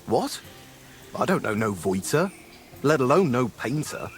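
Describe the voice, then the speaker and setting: A lowborn medieval thug in a game drama. He is evasive and brusque, with rough colloquial speech. His mid-pitched voice is lively, with faint music underneath. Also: measured pace. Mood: dismissive, denying. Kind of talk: video game dialogue acting.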